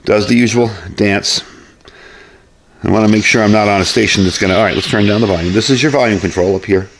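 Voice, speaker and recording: A man's voice: on the low side, fast, a little hiss or hum. A man hosting a casual product review vlog, explaining things in a conversational, folksy way.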